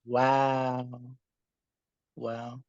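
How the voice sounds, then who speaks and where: Slow, soothing male meditation guide. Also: medium pitch, level tone, smooth, no room sound, muffled recording. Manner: slow, soft, soothing delivery. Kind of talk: guided meditation, then casual chat. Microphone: video call.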